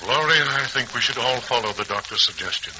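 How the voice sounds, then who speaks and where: An actor playing a practical, composed head of a family in a 1940s radio serial, brisk and take-charge. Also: male voice, medium pitch, lively intonation, bright, forceful tone. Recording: faintly noisy old 1940s radio recording.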